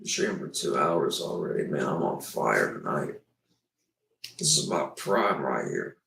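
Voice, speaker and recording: Deep gravelly man rambling on stream. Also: sing-song, unhurried, fairly bright tone, far from the mic. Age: 20s. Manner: rambling, meandering and self-deprecating. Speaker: livestreamer. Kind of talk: casual livestream chat. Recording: echoey room, away from the mic.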